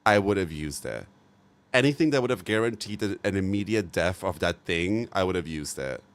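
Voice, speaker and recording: Low-voiced man chatting on stream. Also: normal speed. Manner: animated, swears freely, laughs often. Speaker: live streamer. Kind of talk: casual chat with live viewers.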